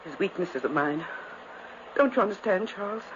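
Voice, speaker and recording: An actress playing a dying, delirious twin sister, intense and pleading in 1950s radio drama style. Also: female voice, mid-range voice, lively intonation, normal speed, close to an everyday voice. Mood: bleak, haunted. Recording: muffled, hissy 1950s radio recording.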